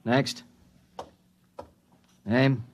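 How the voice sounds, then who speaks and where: A man's voice: mid-range voice, flat delivery, drawn-out words, slight background noise. An actor playing an indifferent, bureaucratic male clerk with clipped questions in an old radio drama. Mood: curt, indifferent. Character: indifferent, curt male space-port processing clerk. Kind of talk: 1950s radio drama acting.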